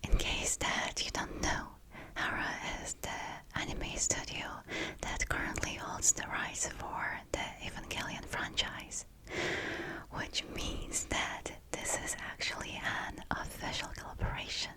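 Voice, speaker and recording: Female ASMR presenter for an unboxing, entirely whispered and breathy, deliberate delivery. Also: pushed and bright. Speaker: ASMR video creator presenting a product unboxing.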